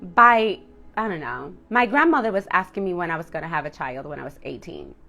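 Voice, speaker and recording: A woman's voice: on the low side, animated, brisk, vocal fry, bright, forceful tone, soft background music. A woman of thirty-nine giving a personal motivational talk to viewers as a self-love coach, speaking in a candid, reflective and emphatic way. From Dominican household.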